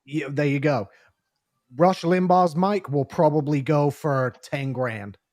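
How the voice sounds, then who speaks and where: A man's voice: on the high side, slow pace, darkish tone, no room sound. Dry-sounding male show host talking gear. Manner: knowledgeable, repeats words as he talks. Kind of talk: casual chat on a live show.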